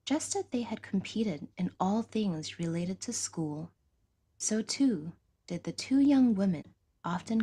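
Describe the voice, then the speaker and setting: A woman reading scripted fiction as an audiodrama narrator, with a measured, literary delivery. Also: medium pitch, mellow, husky.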